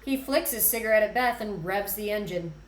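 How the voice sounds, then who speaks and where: Woman, screenplay narrator, table read, medium pitch, noticeable room echo. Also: lively intonation, fairly quick, slight background noise. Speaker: stage-direction narrator. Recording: roomy echo.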